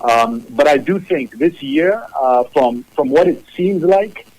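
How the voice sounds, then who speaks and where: A man in his thirties from Zambia, a musician speaking from his own studio in a casual, measured interview, often repeating words as he thinks. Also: on the high side, animated, darkish tone, poor audio. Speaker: musician guest.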